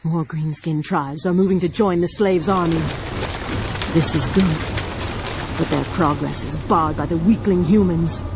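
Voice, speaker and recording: An actress playing a haughty, vengeful dark elf commander in a video game, imperious, menacing and theatrical. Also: female voice, deep voice, fairly even intonation, a little slow, over loud music. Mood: cold, commanding. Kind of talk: scripted video game cutscene acting.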